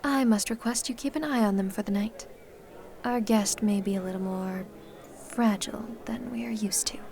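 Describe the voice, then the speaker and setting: A woman playing a gracious, commanding, centuries-old vampire hostess in an ASMR roleplay, speaking formally with gentle teasing. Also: medium pitch, fairly even intonation, moderate pace, creaky, lightly acted. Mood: polite, composed.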